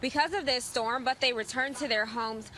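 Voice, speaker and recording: A woman's voice: fairly high pitch, normal speed, pushed and bright. A woman TV news field reporter delivering a live on-scene report from outdoors. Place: outdoors by a road. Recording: clear outdoor background noise.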